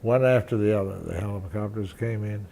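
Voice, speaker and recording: Older man reminiscing haltingly. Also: lowish voice, vocal fry, mellow, a little hiss or hum. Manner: halting, many restarts and fillers. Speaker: interviewee, veteran journalist. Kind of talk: reminiscing interview.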